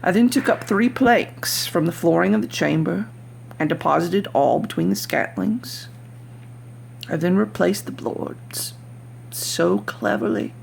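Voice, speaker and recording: A woman's voice: fairly low pitch, creaky, slight background noise, exaggerated voice. A woman voicing an unhinged, cunning murderer in a theatrical, intense dramatic reading of a horror story. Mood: cold, smug. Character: unhinged murderer, smug and cunning, growing frantic.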